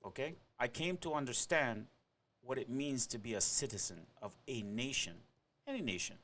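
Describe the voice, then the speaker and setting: A man's voice: medium pitch, fairly bright tone. A man in his forties, from Trinidad and Tobago and Venezuela, rambling through a personal monologue as a podcaster and often ending thoughts with "right?".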